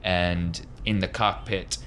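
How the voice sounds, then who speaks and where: A man's voice: low voice, steady tone, takes it slowly, a bit bright, slight background noise. A man running a tabletop space adventure as game master, narrating in an easygoing, descriptive way with conversational asides.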